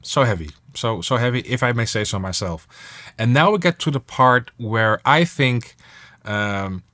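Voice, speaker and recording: Guy walking through a guitar mixing tutorial, casual, average pitched voice. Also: expressive, measured pace, noisy background. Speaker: music production instructor and guitarist. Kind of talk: casual software tutorial, explaining while demonstrating.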